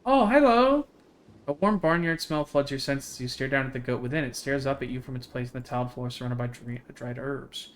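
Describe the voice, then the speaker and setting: A man's voice: mid-range voice, a little fast. A man livestreaming a game, chatting casually in a jokey, slangy way and reading its text aloud. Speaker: game streamer.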